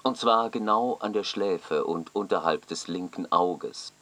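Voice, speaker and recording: An actor playing a matter-of-fact official who reads out findings precisely and factually in a radio drama. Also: male voice, sits low, measured pace, a bit bright. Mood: clinical. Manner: factual, precise, unemotional wording. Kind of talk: formal report read out in a radio drama.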